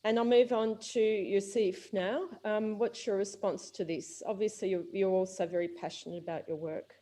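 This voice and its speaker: A woman's voice: medium pitch, steady tone, normal speed, dark tone. A woman moderating an online panel, asking brief, polite questions. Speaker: webinar moderator.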